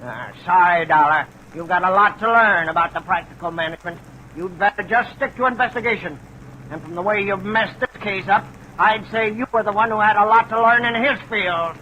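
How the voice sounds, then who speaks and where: A smug, hard-hearted steel mill boss in an old radio drama. His voice is high and sharp, clipping out cynical, scornful lines over a faintly noisy vintage recording. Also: brisk, dull audio. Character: callous, smug male steel mill supervisor.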